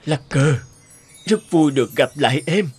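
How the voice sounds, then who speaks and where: Wistful old veteran, swooping intonation. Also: male voice, on the high side, expressive, unhurried, over loud music. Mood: wistful longing. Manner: hesitant, wistful. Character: sorrowful elderly male war amputee, still in love. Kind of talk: dubbed animated melodrama.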